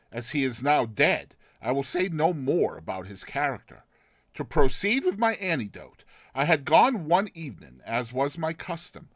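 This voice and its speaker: A man reading a literary short story as narrator, in a dramatized, theatrical style with deliberate phrasing. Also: mid-range voice, a bit bright, no room sound, clean studio sound.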